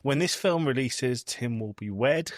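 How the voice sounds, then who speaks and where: A man's voice: mid-range voice, expressive, a little slow, no echo, studio quality. A man in his thirties with a British accent, hosting a podcast in casual conversation. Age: 30s. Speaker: podcast host.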